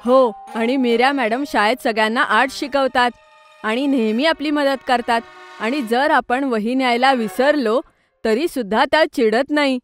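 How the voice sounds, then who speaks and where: A woman voicing an excitable, curious young schoolboy who frets a little, in a children's cartoon story. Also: high voice, normal speed, close to an everyday voice. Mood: earnest. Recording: music behind the voice.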